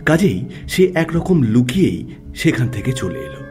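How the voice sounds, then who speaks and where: A man narrating a classic literary audio story in a dramatic, scripted style with measured phrasing and pauses. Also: medium pitch, very animated, over music.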